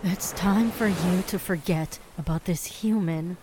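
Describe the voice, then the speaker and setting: A woman playing a calm, assured mermaid confidante in an audio drama, soothing and persuasive. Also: fairly low pitch, unhurried, background noise, close to an everyday voice. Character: calm, consoling female mermaid confidante, unashamed of killing.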